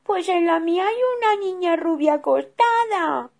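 A woman voicing a little bear cub who whines and cries in shrill wails. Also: animated, moderate pace, creaky, darkish tone, heavily acted. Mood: tattling. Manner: wailing, shrill. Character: small bear cub, whiny and tearful.

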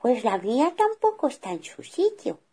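A woman's voice: a little high, very animated, normal speed, breathy edge, pitched above the performer's own voice, exaggerated voice. A woman voicing a kind mama bear who coaxes and soothes. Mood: puzzled. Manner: coaxing, gently firm.

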